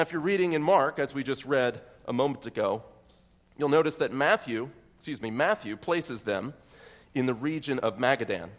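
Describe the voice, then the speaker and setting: A man's voice: mid-range voice, in a large hall. An American man from central Pennsylvania preaching an expository sermon as pastor, earnest and methodical, often posing rhetorical questions. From central Pennsylvania, USA.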